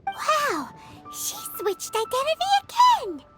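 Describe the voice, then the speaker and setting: A tiny fairy sidekick from a fantasy game, with an extremely high, squeaky child's voice. It swings wildly in melody, chatty and exclamatory, with music playing behind it. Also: female voice, slow pace, exaggerated voice. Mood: excited. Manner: expressive, exclamatory. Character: small childlike fairy companion, excitable and chatty. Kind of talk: video game voice acting.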